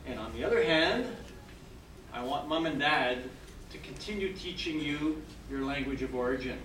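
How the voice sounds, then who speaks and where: A man's voice: on the high side, expressive, normal speed, fairly bright tone. Older man giving an echoey ceremony speech. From Canada. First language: French. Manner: encouraging, repeatedly checks in with "okay?". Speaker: citizenship judge addressing new citizens. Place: large hall with an audience. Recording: echoey hall, mic far off, noisy.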